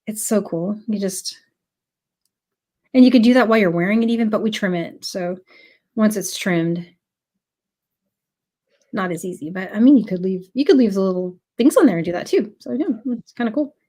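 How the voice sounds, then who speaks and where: A woman's voice: medium pitch, moderate pace, pauses often, warm tone. A woman with a cold teaching a live beading class, chatty and full of ums as she greets viewers. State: has a cold. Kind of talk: casual live tutorial.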